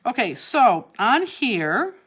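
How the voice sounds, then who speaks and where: A woman's voice: fairly high pitch, big pitch swings, takes it slowly, fairly bright tone, husky. A woman from Cleveland with a Cleveland accent, hosting a live craft class and chatting as she answers viewers' comments. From Cleveland, Ohio. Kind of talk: live online craft demonstration. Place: craft studio, indoors.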